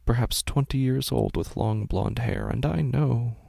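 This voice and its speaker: A man narrating a scripted horror story in a hushed, slow delivery that often drops to a whisper, recorded on a close studio mic. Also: medium pitch, gravelly, dry recording. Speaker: narrator and host.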